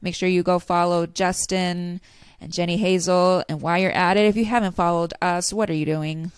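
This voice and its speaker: A woman hosting a podcast in casual chat, quick and chatty with frequent laughs, a little stuffy today. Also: on the low side, fairly even intonation, clear, resonant tone, no echo. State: slightly stuffy nose. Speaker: podcast host.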